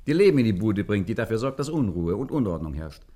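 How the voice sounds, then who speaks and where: A suave gentleman gangster in an old radio comedy. His voice sits low. He speaks with pompous relish, very pleased with himself and playful about his grand ambitions. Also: big pitch swings, fast. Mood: jovial, scheming. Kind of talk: 1950s German radio play acting.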